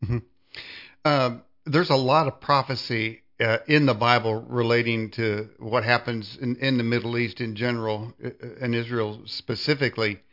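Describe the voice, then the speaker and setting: A man hosting an interview, asking long conversational questions haltingly as he searches for words. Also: mid-range voice, level tone, highs cut off. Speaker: interview host.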